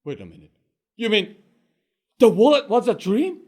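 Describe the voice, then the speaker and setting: A man playing a sheepish, hard-drinking fishmonger in a one-performer comic tale, talking in an excitable, pleading way. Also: high-pitched, moderate pace. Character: sheepish drunken fishmonger husband.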